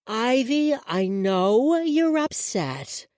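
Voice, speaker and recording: A spoiled young woman who whines in a childlike voice, pitched far up and swinging wildly, voiced by a man. The sound is close and dry, with no room around it. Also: sing-song, very slow, darkish tone, no echo, exaggerated voice. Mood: childish. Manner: childlike put-on voice.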